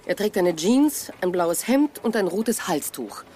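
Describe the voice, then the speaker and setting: A woman's voice: medium pitch, animated, measured pace, warm tone, slight background noise, lightly acted. A woman playing a worried farm mother in a German children's audio drama. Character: Misses Price, worried mother on a lonely farm.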